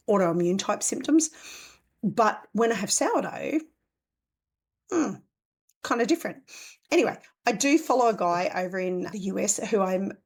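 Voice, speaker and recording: An Australian woman hosting a homesteading podcast, hoarse and croaky after being ill, talking in a chatty, rambling way that is full of self-corrections. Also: medium pitch. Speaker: podcast host. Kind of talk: casual podcast monologue.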